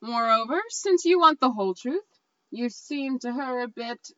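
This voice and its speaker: A woman playing a sly, suave male friend and former rival in a comedic play, with a measured, arch, theatrical delivery. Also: fairly high pitch, animated, a bit bright, no echo. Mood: arch, confiding. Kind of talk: cold-read comedic stage play. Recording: dull lo-fi sound.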